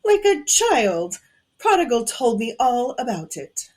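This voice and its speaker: A haughty female villain of audio drama. Her voice sits fairly high and swoops freely, relishing every taunt. She speaks imperiously, with theatrical cruelty. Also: very animated, unhurried, darkish tone. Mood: accusing, scornful. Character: haughty, gloating female villain, cruel body-borrowing hotel owner. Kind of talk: scripted audio drama acting.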